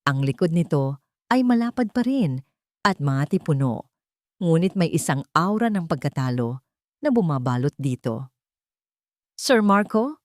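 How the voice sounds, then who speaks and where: A woman's voice: deep voice, lively intonation, moderate pace, long pauses, darkish tone, dry recording. A woman storyteller narrates a dramatic love story. The recording is close and dry, with no room sound. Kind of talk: scripted dramatic romance narration.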